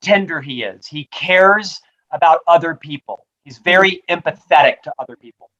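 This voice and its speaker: Upbeat high-voiced man on a call. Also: normal speed, bright, forceful tone, muffled recording. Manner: upbeat, promotional, says he stutters but talks fluently. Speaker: author and guest. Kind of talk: rapid-fire interview answers.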